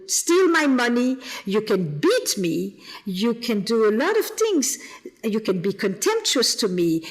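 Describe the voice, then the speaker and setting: A woman's voice: medium pitch, poor audio. A woman from the Flemish part of Belgium with a Flemish accent, speaking thoughtfully as a guest therapist on a podcast. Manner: reflective, repeats words while thinking. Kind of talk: podcast interview.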